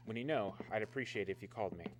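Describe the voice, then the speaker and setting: Man playing a brisk, official security officer. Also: lowish voice, background noise, natural voice. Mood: matter-of-fact. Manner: clipped, matter-of-fact, official. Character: businesslike male security officer at atomic research camp. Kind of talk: radio drama acting.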